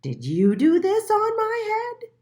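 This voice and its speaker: A little storybook mole, as a woman reader acts him out, indignant and demanding. The voice jumps up high and loud, with exclamatory, swooping delivery. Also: huge pitch swings, slow pace, heavily acted. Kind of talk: storybook read-aloud character voice.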